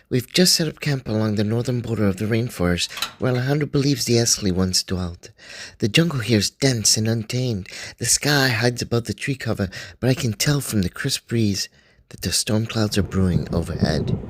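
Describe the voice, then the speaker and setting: A man playing a 1920s scholar who reads his expedition journal aloud in a measured, dryly wry way. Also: mid-range voice, slight background noise, natural voice. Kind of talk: first-person journal reading, radio drama.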